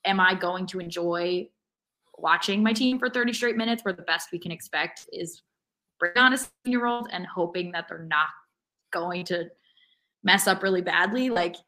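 A woman co-hosting a sports podcast, giving measured, analytical opinions in casual conversation. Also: on the low side, sharp tone. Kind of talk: casual soccer fan discussion.

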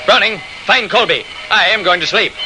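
An actor playing a pompous, indignant railroad boss, declaiming imperiously in an old-time radio drama. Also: male voice, high-pitched, expressive, normal speed, over music, low-quality recording. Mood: indignant, dismissive.

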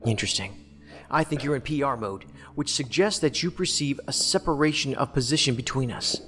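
A man's voice: medium pitch, fairly quick, fairly bright tone, close to an everyday voice. A man playing a sardonic, wordy, philosophical federal investigator in a science fiction audio drama. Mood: argumentative, intense. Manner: wry, wordy, lecturing. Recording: long hall reverb, music underneath.

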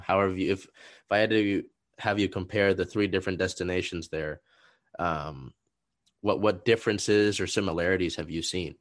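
Low-voiced man asking rambling questions. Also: fairly bright tone, no room sound, studio quality. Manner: rambling questions with many restarts and fillers. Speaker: interviewer. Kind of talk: conversational podcast interview.

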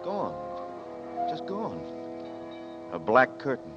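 A man's voice: mid-range voice, huge pitch swings, slow pace. A dazed man tells of his lost years in tense, brooding narration. His voice sits mid-range for a man. Music runs beneath, and the old broadcast sounds muffled. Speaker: narrator and lead. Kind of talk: 1940s radio drama narration.

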